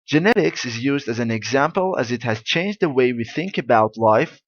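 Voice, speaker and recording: A man gives a spoken summary of a lecture in a clear, deliberate manner. The sound is dry and close, with a dull, slightly muffled tone. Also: medium pitch, animated, no echo. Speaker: model answer reader. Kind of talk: summarizing a lecture for exam practice.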